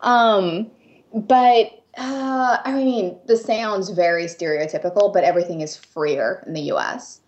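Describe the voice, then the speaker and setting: A woman's voice: medium pitch, measured pace. Friendly young American-accented woman guest, slightly muffled. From Japan. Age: 20s. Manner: friendly, laughs easily, many ums. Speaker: guest artist. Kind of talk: casual interview chat.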